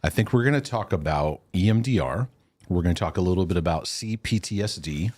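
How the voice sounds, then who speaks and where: A man's voice: low-pitched, expressive, brisk, a little mellow, no echo. Congested, chatty middle-aged man. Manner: rambling, self-interrupting with laughs. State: congested, sneezing. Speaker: host. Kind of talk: live Q&A stream chat.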